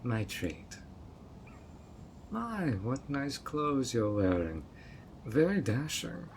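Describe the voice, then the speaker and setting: A man's voice: mid-range voice, big pitch swings, very slow, darkish tone, slight background noise, exaggerated voice. A man voicing a sweet but obsessive male fairy who coaxes a guest in an audio roleplay, with a honeyed, playfully sly manner. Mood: sweetly scheming. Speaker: fairy host of a tea party.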